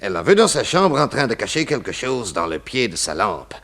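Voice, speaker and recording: An actor playing a talkative, pointed character in a 1960s French radio mystery, emphatic and fond of questioning tags. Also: male voice, medium pitch, very animated, brisk, very noisy. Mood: confiding.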